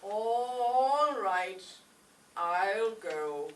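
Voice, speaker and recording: A woman's voice: mid-range voice, expressive, extremely slow. A woman playing a whiny, reluctant young son, a boy scout, in an old-time radio comedy. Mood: whiny, defiant. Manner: whiny, complaining. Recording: echoey stage, distant mic, faint noise.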